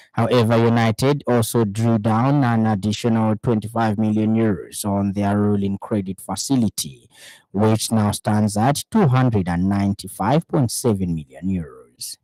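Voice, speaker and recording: A man with a Zambian accent reading a radio news bulletin in a measured, formal newsreader delivery. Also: lowish voice, warm tone, no room sound, rough recording. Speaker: news anchor. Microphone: studio microphone.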